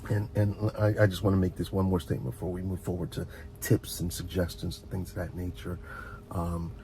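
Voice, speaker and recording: A middle-aged man giving an informal, heartfelt advice monologue as a host, speaking earnestly and reflectively, often halting and repeating words. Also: low-pitched, little pitch movement, mellow, faint music behind. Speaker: host of a relaxation and advice channel.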